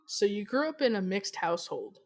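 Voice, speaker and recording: A woman's voice: mid-range voice, sing-song, brisk. A woman hosting a casual interview, speaking in a blunt, emphatic way with some swearing. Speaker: interview host.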